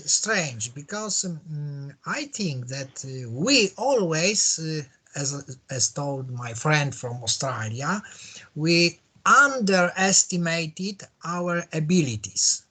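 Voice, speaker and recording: Casting: Polish-accented English learner, husky voice, very animated pitch, hesitant casual conversation. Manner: hesitant, repeats phrases.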